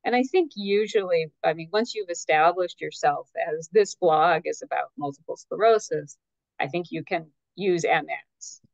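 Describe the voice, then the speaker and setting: A woman answering as an expert guest in a conversational, measured and tentative way, qualifying her points. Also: fairly low pitch, a little mellow, no echo, dull audio.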